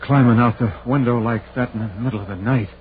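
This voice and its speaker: A man's voice: medium pitch, quick pace, lightly acted. A man playing a fearful, superstitious rural townsman with a folksy, nervous way of talking, in 1930s radio drama style. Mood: uneasy, reluctant. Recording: muffled, noisy 1930s radio recording.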